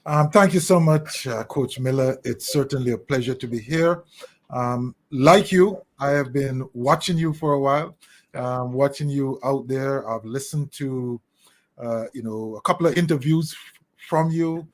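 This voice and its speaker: A middle-aged man with a Jamaican accent giving thoughtful, deliberately phrased answers as an interview guest. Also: mid-range voice. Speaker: interview guest, leadership consultant.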